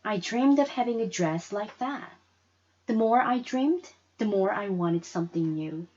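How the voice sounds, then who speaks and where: A woman reading a children's story aloud as an expressive narrator. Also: medium pitch, a little slow, slightly rough, lo-fi sound. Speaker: storybook reader.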